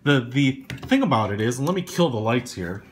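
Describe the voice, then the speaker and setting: A man's voice: mid-range voice, very animated, fairly quick, slight background noise. Rambling casual man reviewing gear. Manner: rambling, lots of fillers, casual slang. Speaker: product reviewer. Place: small indoor room.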